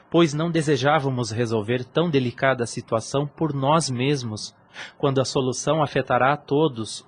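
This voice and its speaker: An actor playing an earnest, troubled priest in a radio drama, speaking formally and solemnly. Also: male voice, medium pitch, slightly rough, lightly acted. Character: earnest priest confiding his troubled heart.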